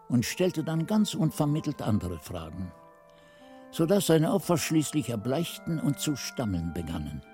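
Mellow monk narrator, expressive. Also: male voice, mid-range voice. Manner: literary, measured storytelling. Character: male monk-pupil recounting his master's investigation. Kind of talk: scripted radio play narration.

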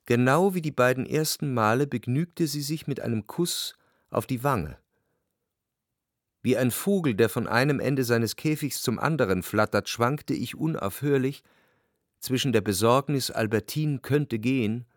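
A man's voice: mid-range voice, no room sound, close to an everyday voice. Actor as anxious, brooding man narrating, dry close sound. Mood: brooding, introspective. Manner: measured, introspective, literary phrasing. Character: anxious, jealous, brooding lover recalling his life as narrator. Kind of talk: literary radio drama narration with quiet dialogue.

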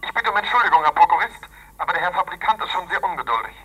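An actor playing a deferential, flustered office clerk in a German radio play. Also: male voice, high voice, very animated, racing, pushed and bright, a little hiss or hum, exaggerated voice, poor audio. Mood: apologetic, hurried.

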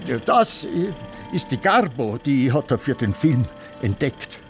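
An actor playing a forgetful, petty old Austrian film director, rambling and halting in a radio drama. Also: male voice, medium pitch, sing-song, over music. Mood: confused, halting. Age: older. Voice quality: halting, hesitant delivery. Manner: confused, rambling, self-satisfied.

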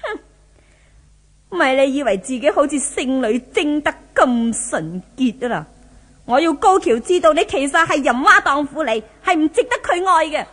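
A woman's voice: sits high, expressive, moderate pace, fairly bright tone. A woman playing a spiteful, imperious young rich villainess in a 1970s Hong Kong Cantonese radio drama. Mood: cruel gloating. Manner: taunting, haughty, theatrical.

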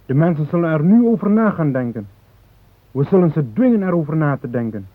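A man's voice: fairly high pitch, very animated, a little fast, dry recording. An actor playing a determined, idealistic male hijacker in a radio play, speaking in solemn, insistent declarations. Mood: resolute, accusing. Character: determined Moluccan hijacker, idealistic, grave, unyielding. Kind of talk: radio drama dialogue. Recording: dry, thin phone-like band.

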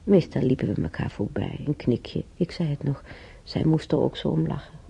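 An actress playing a weary, timid elderly former housemaid in a radio play, with a breathy, husky voice and halting, sighing delivery. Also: female voice, low voice, expressive, mellow, whispered, exaggerated voice. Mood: weary, musing. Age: older. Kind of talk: naturalistic radio drama monologue and dialogue. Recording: dull, muffled radio play recording.

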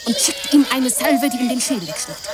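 A woman's voice: high voice, very animated, a little fast, loud music behind the voice. An actress playing an impassioned woman who tells a lurid adventure fantasy in a melodramatic, intense radio play manner, at times whispering. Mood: feverish excitement. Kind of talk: radio play narration and acting. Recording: noisy jungle ambience behind.

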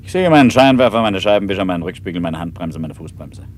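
An actor playing an arrogant, guilty personnel chief in a German radio play, curt and blustering. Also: male voice, deep voice, expressive, fast talker, darkish tone. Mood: blustering, dismissive. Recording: noisy old radio play recording.

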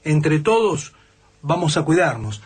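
Anxious Argentine survivor, very raspy, swooping lively pitch, excitable radio-drama delivery. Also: male voice, slow pace, exaggerated voice. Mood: eager, welcoming. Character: Lucas, excitable, anxious, eager-to-welcome male survivor.

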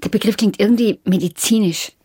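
A woman's voice: mid-range voice, a little fast, mellow, slightly rough, lightly acted. An actress playing an uneasy, resistant woman in a German radio drama, plain-spoken and defensive. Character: uneasy, resistant woman dependent on pills and therapy.

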